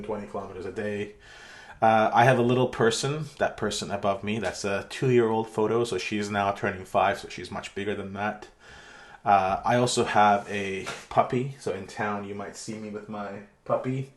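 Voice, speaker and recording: A middle-aged man from Turkey giving a pre-recorded online university lecture in a relaxed, chatty way with many fillers. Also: on the low side, slight background noise. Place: home room. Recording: echoey room.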